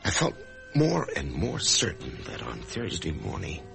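An actor playing an anxious, death-haunted office man in a radio drama, speaking in a halting, emotional way. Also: extremely low pitch, wildly swooping pitch, creaky, over music, exaggerated voice. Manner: halting, emotional, swinging from brooding to agitated. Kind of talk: old-time radio drama acting, first-person narration and dialogue.

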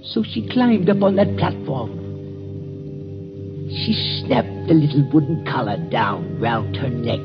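A man's voice: fairly high pitch, very animated, measured pace. An actor playing a nervous old male night watchman at a waxworks, stammering and hesitant, in a 1940s radio drama. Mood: hushed storytelling. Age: older. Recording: dull old radio recording with music.

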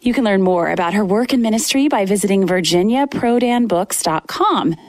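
A woman's voice: mid-range voice, expressive, moderate pace, a little mellow, dry recording. A woman hosting an interview show, giving affirming responses and wrapping up the segment. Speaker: host.

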